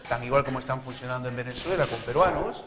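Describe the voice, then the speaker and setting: A man's voice: mid-range voice, quick pace. An older man interviewing guests live in a TV studio, talkative with joking asides. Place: TV news studio. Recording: echoey studio with faint hiss.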